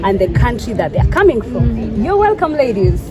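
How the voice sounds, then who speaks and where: A woman's voice: fairly high pitch, sing-song, normal speed. Upbeat young woman host, crowd behind. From Kenya. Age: young adult. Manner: upbeat, encouraging. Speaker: vlogger host. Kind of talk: casual group chat. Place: outdoor event venue. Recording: loud music and crowd behind.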